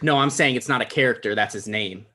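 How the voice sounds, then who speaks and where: A man's voice: medium pitch, quick pace, pushed and bright. A man playing a self-important, insecure would-be talk show host, dismissive and argumentative, in a comedy table read. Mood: wounded.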